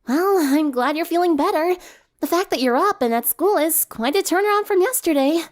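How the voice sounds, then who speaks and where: A woman's voice: very high-pitched, lively intonation, moderate pace, pitched above the performer's own voice. A woman voicing a caring, cautious, bookish teenage girl in a story reading. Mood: concerned.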